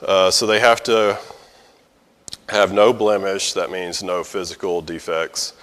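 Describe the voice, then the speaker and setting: Low-voiced male Bible study teacher, conversational and unhurried, long room reverb. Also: little pitch movement, fairly bright tone. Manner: conversational, explains with fillers and restarts. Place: church meeting room. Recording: echoey hall with long reverb.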